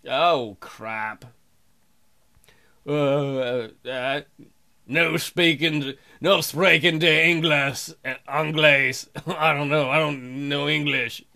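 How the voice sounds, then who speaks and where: A man's voice: a little high, fairly even intonation, slow, gravelly, bright, forceful tone. A man doing jokey, crude, rambling commentary as he plays and reads a game aloud. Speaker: let's play host.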